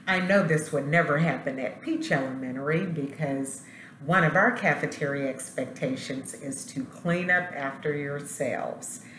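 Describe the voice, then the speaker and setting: A woman principal reading a storybook aloud to young children, expressive and speaking to them directly. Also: low-pitched, unhurried. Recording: roomy echo, light background noise.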